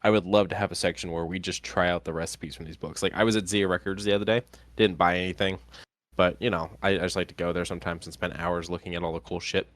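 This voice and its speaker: A man's voice: low voice, flat delivery, quick pace, fairly bright tone, no echo. A sniffly man co-hosting a casual podcast chat, rambling with lots of ums. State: sniffly, congested. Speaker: podcast co-host.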